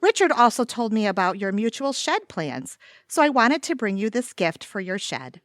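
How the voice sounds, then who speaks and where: Actress doing earnest bookish young woman, precise n chirpy, highish lively voice, comedy audio play. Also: normal speed, dry recording, clean studio sound. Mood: polite, earnest. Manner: precise, formal wording, politely upbeat. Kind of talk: comedy audio drama acting.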